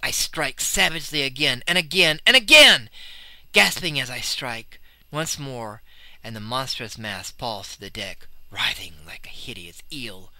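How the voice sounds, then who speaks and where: Guy doing a scared young sailor in a horror audiobook, intense, really sharp forceful voice, super dry sound. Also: medium pitch, lively intonation, unhurried, no echo, heavily acted, poor audio. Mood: vengeful, frantic. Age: young adult. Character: terrified young sailor recounting a sea monster attack. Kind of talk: dramatic first-person horror storytelling.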